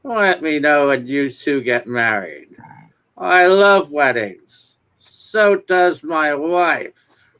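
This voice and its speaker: A man's voice: on the high side, expressive, extremely slow, pushed and bright, exaggerated voice. A man playing a genial, self-assured small-town businessman in a folksy, expansive dramatic reading of a 1950s romance comic. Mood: jovial, hearty.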